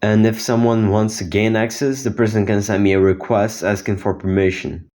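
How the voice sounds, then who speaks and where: Low-voiced teenage guy presenting. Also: fairly even intonation, moderate pace, a little mellow. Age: teens. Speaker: student inventor presenting own project. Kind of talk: explanatory project demo.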